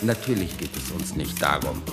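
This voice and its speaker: An actor playing a jovial, scheming middle-aged editor-in-chief in a radio drama, oily and teasing in manner. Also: male voice, very deep voice, lively intonation, moderate pace, over loud music. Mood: smug, teasing. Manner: oily, teasing, self-amused.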